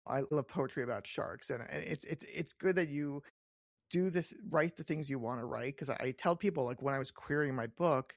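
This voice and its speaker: A man's voice: medium pitch, normal speed, gravelly, no room sound, clean studio sound. A man hosting a podcast, chatting casually with a guest in an informal interview style. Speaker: podcast host.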